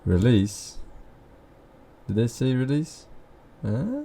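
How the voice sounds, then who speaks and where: A man's voice: mid-range voice, very animated, slow pace, vocal fry, mellow. A man streaming, giving casual off-the-cuff comments as he watches a video.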